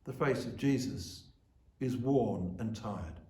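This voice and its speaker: A man giving a reflective scripted sermon as a church officiant, solemn and measured in his phrasing. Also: on the low side, expressive, darkish tone. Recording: echoey room, distant microphone.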